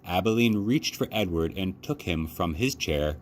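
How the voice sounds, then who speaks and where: A man with a fairly low voice narrates a children's book. His delivery swings widely and expressively in pitch, with faint noise in the background. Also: animated, moderate pace. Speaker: library children's storyteller. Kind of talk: expressive read-aloud narration.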